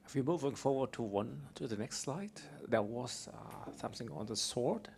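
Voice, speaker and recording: A man in the audience asks his question in English with a German accent. His voice is gravelly, and he pauses a lot with fillers as he feels his way through it. A little room noise sits behind him. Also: medium pitch, expressive, a little mellow. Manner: hesitant, many fillers, wry. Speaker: audience member.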